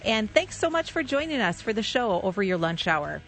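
A woman's voice: medium pitch, very animated, moderate pace, a bit bright, faint music behind, low-quality recording. Norwegian Minnesotan woman hosting radio. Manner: friendly, laughs easily, reads the guest's introduction. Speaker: fill-in radio host.

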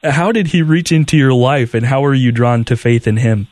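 A man's voice: medium pitch, normal speed, darkish tone, dry recording. A man hosting a radio interview, conversational, guiding the guest with short questions and affirmations. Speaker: radio host.

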